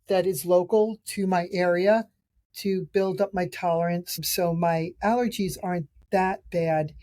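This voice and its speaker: Raspy middle-aged woman, mellow low voice. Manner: chatty, rambling, with restarts and fillers. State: raspy voice from a cold. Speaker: podcast host and nutrition practitioner. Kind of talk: casual solo podcast talk reviewing products. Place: outdoors.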